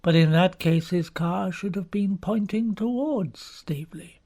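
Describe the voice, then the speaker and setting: A man reading the part of a grave, sinister middle-aged male country doctor in an audiobook, asking slow, deliberate, probing questions in a breathy voice. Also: high voice, dark tone.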